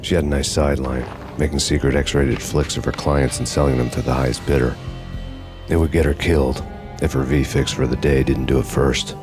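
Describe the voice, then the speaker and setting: A grim, very deep-voiced noir cop. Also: male voice, moderate pace, over music. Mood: grim, deadpan. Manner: deadpan, terse. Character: weary, grim hard-boiled male fugitive cop. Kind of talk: noir scripted narration.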